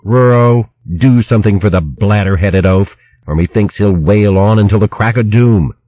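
A man's voice: fairly low pitch, normal speed, dry recording, lo-fi sound, close to an everyday voice. A man voicing an impatient female squirrel warrior from a storybook, commanding and archaic in phrasing.

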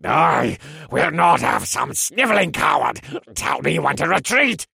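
A man voicing a towering, cruel elf general in a fantasy audiobook, with a raspy, menacing snarl and commanding, boastful delivery. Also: low-pitched, sing-song, slow, sharp tone, no echo, studio quality, full character voice. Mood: outraged. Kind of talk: acted audiobook character dialogue.

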